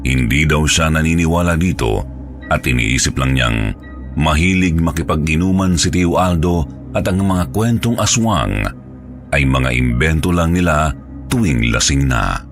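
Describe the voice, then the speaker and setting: Extremely deep male horror narrator. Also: animated, measured pace, faint music behind. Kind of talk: scripted horror story narration.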